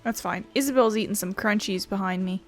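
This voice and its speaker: A woman's voice: medium pitch, brisk. A woman streaming a game, chatting casually in a rambling, blunt way. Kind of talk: casual gameplay chat. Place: indoors at home. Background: game music.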